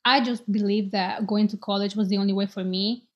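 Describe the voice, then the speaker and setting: A woman's voice: medium pitch, steady tone, brisk. Woman in her twenties from El Salvador, interview guest, earnest and hesitant, slightly muffled recording. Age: 20s. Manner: hesitant, many "um"s, earnest and reflective. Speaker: guest, business owner. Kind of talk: casual interview conversation.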